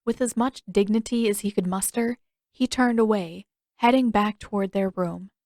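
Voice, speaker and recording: A woman's voice: mid-range voice, moderate pace, darkish tone, dry recording, clean studio sound. A woman reading scripted fiction as an audiobook narrator.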